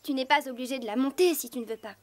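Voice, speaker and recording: A woman voicing a kind, earnest teenage girl rider in a French cartoon dub, expressive and encouraging. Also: high-pitched, fast, close to an everyday voice. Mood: earnest, reassuring.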